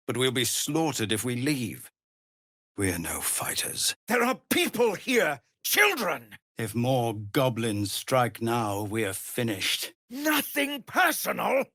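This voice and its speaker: A noble, worn-down male leader of refugees for a video game. His voice is husky and grave, gravelly when strained, and recorded very dry. He speaks in a measured, formal way with an expressive, widely moving pitch. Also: no room sound, heavily acted. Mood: worried, urgent, then desperate, alarmed. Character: weary, honourable male leader of embattled refugees. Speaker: video game character actor. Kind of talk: dramatic game dialogue.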